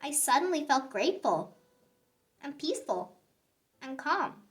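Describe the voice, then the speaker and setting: Woman as little sour grape, storybook narrator: high, bright, theatrical, grudge-prone but repentant. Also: very animated, full character voice. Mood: calm, wistful. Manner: theatrical, exclaiming, drawn-out emphasis on words. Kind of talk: children's picture book read-aloud.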